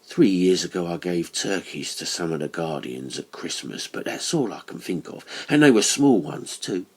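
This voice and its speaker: A man's voice: low voice, expressive, moderate pace, darkish tone, husky. A man reading an audiobook horror story, playing an earnest working-class stonemason with a serious, matter-of-fact manner. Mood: reflective. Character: Atkinson, earnest working-class male monumental mason.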